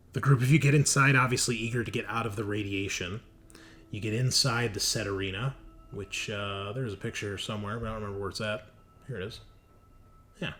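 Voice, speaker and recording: A man improvising narration as game master of a tabletop game, often halting to search for words. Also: lowish voice, fairly bright tone. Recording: noisy room recording.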